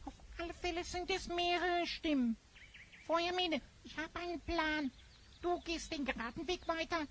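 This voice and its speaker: An extremely high, sharp-edged elf goblin in a fantasy audio drama. The small companion is eager and conspiratorial, speaking with theatrical animation over faint noise. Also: female voice, normal speed. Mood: eager, plotting.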